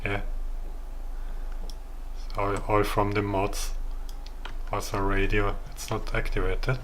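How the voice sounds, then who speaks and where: A man with an Austrian accent, a native German speaker, giving a casual, halting game walkthrough. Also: on the low side, completely flat delivery, fairly bright tone, a little hiss or hum. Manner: halting, searching for words. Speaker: gamer showing his own game build.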